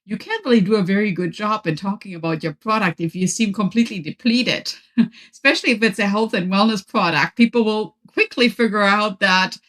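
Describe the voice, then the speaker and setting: A woman's voice: mid-range voice, fairly bright tone. A woman from Germany with a German accent, a native German speaker, talking as a podcast guest and giving structured, upbeat advice. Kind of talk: interview conversation.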